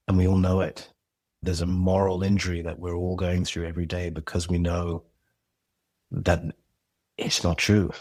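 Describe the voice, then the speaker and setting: A man's voice: sits low, a little mellow, dry recording. A man who creates and directs audio drama, speaking as a guest in a casual interview, earnest, reflective and passionate.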